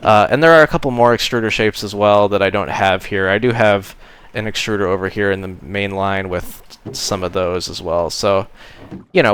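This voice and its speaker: Low, smooth-voiced man rambling casually. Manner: relaxed and rambling, many ums and uhs. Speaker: modded game player giving a base tour. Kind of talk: casual narrated gameplay tour.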